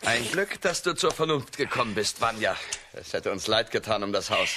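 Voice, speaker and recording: An actor playing a dour, scornful peasant brother in a children's radio drama, with a gruff, blunt and dry delivery. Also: male voice, fairly low pitch, very animated, moderate pace, sharp tone, a little hiss or hum. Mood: relieved.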